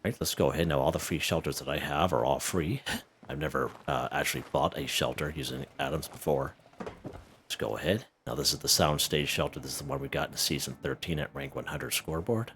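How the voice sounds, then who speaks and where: Very deep-voiced man, chatty game guide. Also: fairly even intonation, fairly quick, a bit bright, slight background noise. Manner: chatty, checks in with "okay?" and "right?". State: slightly hoarse. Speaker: gaming video host. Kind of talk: casual game walkthrough.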